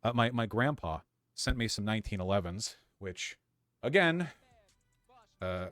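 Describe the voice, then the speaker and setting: A man talks casually to his stream in a mid-pitched voice with a sharp, bright edge. His delivery is hesitant and halting. The recording is dry and close. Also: animated, dry recording, soft background music. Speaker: streamer showing his belongings. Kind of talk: casual livestream chat.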